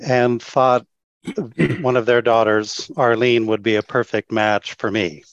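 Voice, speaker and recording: A man's voice: medium pitch, slow, no room sound. A man in his sixties, a mission organization leader, telling his story in an easygoing, friendly way as a podcast guest. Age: 60s. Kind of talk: casual interview chat. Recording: dry, dull remote call audio.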